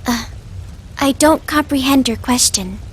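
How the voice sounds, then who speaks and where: A woman's voice: high voice, a little slow, slight background noise. A woman playing an emotionless, obedient young girl agent in a video game, speaking in clipped, formal, literal phrases. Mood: flat, businesslike.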